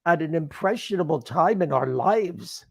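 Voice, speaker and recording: A man in his sixties from the Philadelphia Main Line, co-hosting a casual live chat in a courtly, playfully flattering manner. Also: on the high side, normal speed, slightly rough. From Philadelphia Main Line, USA. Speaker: co-host.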